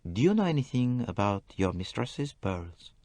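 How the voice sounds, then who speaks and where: A man voicing a shrewd older male detective who asks quiet, precise questions. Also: fairly low pitch, big pitch swings, normal speed, dry recording, pitched above the performer's own voice. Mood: probing.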